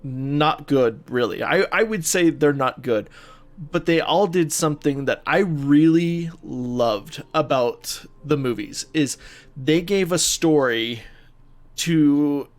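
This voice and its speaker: A man's voice: on the high side, takes it slowly. A man co-hosting a podcast, talking casually like a fan, informal and a little sweary. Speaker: podcast co-host. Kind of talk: casual chat about movies.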